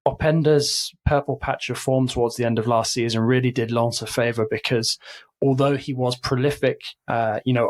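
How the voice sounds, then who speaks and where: Analytical male football pundit, mid-pitched. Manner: long analytical answers. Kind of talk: podcast panel discussion.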